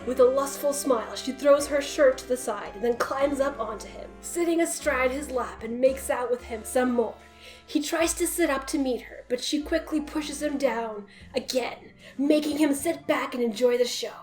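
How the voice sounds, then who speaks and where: A woman's voice: high voice, normal speed, a little mellow. A woman narrating a comic story as a dramatic reading. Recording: roomy echo, music behind.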